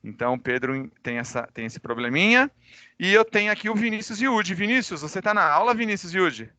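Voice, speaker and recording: A Brazilian man, a high school teacher with a cold, giving informal class announcements online and addressing students directly. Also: animated, brisk, sharp tone, dry recording. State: recovering from a cold. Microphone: microphone.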